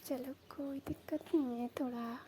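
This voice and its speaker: A woman acting as a coy Rajasthani village sister-in-law, languid and teasing on a phone call. Her voice is high, soft-toned and fairly flat, over faint background noise. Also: measured pace. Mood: fond. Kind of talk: staged phone call, casual chat.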